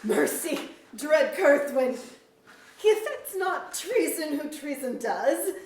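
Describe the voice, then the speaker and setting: A woman plays a beaten but earnest noble, pleading in high, slow archaic verse. The echoing stage sound is distant. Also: expressive, darkish tone. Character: pleading defeated patriot noble begging mercy. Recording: echoey distant auditorium, faint noise.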